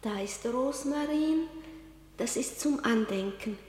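A grief-maddened young girl in a classical radio play. Her very high, fragile voice moves extremely slowly, slipping between lilting song, laughter, weeping and whispers. It all rings in a long hall reverb. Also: expressive, a little mellow, faint music behind. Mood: tender, dazed. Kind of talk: German classical radio drama acting.

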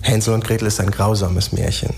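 A man's voice: fairly low pitch, little pitch movement, a little fast, faint music behind, lightly acted. An actor playing an obsessive male fairy-tale archaeologist, lecturing insistently and emphatically in a German radio crime drama. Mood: grim, intense.

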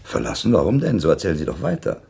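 An actor playing a sceptical, worldly listener in a German radio play, needling in a wry, probing way with a very deep gravelly voice. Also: male voice, wildly swooping pitch, quick pace, mellow, exaggerated voice. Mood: teasing suspicion. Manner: wry, probing, sardonic questioning.

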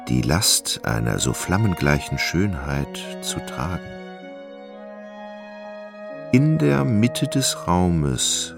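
A man delivers literary narration for a radio play. His voice is very low and creaky, with unhurried pacing and music clearly behind him. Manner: measured, descriptive storytelling.

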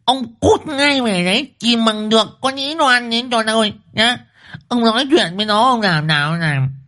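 A man's voice: very high voice, normal speed, pushed and bright, pitched above the performer's own voice. A man voicing a fierce, bossy wife who hectors and repeats threatening demands. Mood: furious, commanding.

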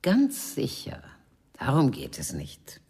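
Mature bourgeois madame for radio crime play: extremely low, husky, disdainful, sweeping dramatic intonation. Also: female voice, huge pitch swings, takes it slowly, exaggerated voice. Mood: bitter, composed. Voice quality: husky, breathy. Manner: haughty, theatrical, swooping between indignation and hushed tones. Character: haughty mature Parisian bourgeois madame, disdainful, betrayed wife.

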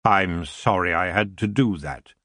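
Brief: deep, slow, silky old elf king with antlers, lordly and lazy, voiced by a male narrator, dry close sound. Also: a bit bright, no room sound. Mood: languid. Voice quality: smooth, unhurried. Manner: lazy, drawn-out, coolly polite. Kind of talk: audiobook character voice.

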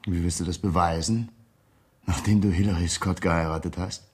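A man acting in a radio drama as a cold ex-convict blackmailer, speaking in a measured, threatening and insistent way. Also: low-pitched, steady tone, breathy edge.

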